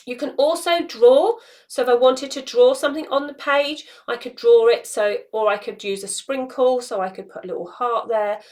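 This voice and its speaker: Woman in her forties, higher voice, casual tutorial host, explanatory step-by-step delivery. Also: normal speed, few pauses, darkish tone. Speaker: social media tutorial host. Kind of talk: instructional screen walkthrough, casual. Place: small indoor room.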